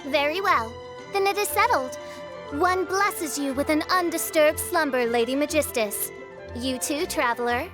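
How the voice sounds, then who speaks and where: A woman's voice: high voice, measured pace, music in the background. A woman voicing a grandiose teenage girl who poses as a princess in a video game, declaiming pompously in archaic phrases. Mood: regal, pleased. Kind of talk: video game cutscene acting.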